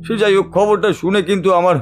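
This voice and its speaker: A man's voice: sits high, steady tone, faint music behind, lightly acted. Actor as a troubled, confiding family man. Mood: troubled, explaining. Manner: confiding, halting, seeks agreement with tag questions. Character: distressed, cheated middle-class family man telling his troubles. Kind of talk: Bengali audio detective drama monologue.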